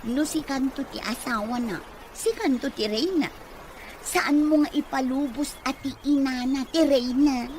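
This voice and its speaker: Casting: a conniving girlfriend's confidante, high-pitched, Filipino accent, radio drama. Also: unhurried. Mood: gleeful, goading. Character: scheming female confidante egging on a friend. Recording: noisy radio drama with ambient sound.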